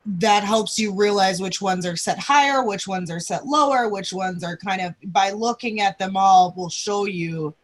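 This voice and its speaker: Woman co-host, agreeable, mid pitch, short replies. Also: normal speed, hardly any pauses. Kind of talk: online talk show discussion.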